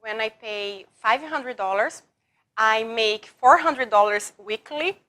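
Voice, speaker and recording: Woman, Brazilian Portuguese accent, fairly high voice, unhurried, broken English, interview guest. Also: pushed and bright. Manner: broken English, repeats and restarts words, emotional. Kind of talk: personal story in an interview.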